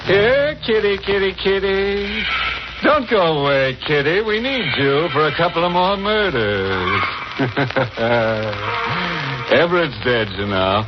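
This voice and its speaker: Slow, ghoulish radio horror host. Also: male voice, on the high side, sing-song, very noisy. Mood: gleeful, morbid. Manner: mocking, drawn-out, punning delivery. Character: ghoulish macabre male host cracking gleeful morbid jokes. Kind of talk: 1940s radio horror hosting. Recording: dull old radio broadcast, loud organ music.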